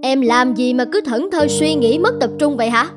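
High-voiced stern schoolteacher. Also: female voice, animated, music in the background. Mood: stern, scolding. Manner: brisk, authoritative, admonishing. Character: stern, scolding middle-aged female schoolteacher. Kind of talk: animated cartoon dubbing in Vietnamese.

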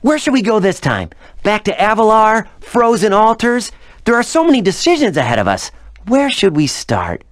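An actor playing a plucky young dragon hero in a video game, boyish and cheeky. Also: male voice, sits high, very animated, normal speed, no room sound, clean studio sound. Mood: upbeat. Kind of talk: animated video game character acting.

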